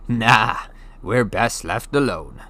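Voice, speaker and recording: A man reading an audiobook, voicing a gruff, hearty, friendly giant with rough, folksy, blustery speech. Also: on the low side, slow pace, bright, forceful tone. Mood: grumbling, blustery. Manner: folksy, blustery, dropped grammar. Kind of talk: audiobook character voice.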